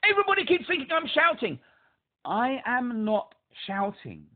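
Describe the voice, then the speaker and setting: A man's voice: extremely high pitch, wildly swooping pitch, moderate pace. A man with a speech impediment, calling a helpline and pressing his point emphatically, repeating himself. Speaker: caller to a helpline. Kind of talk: phone call. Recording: tinny phone line.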